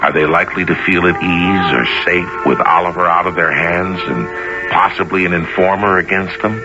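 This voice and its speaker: An extremely deep male narrator hosting a radio play. He reads in a measured, theatrical style over clear music, on a dull recording. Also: steady tone. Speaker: host and narrator. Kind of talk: scripted radio drama narration.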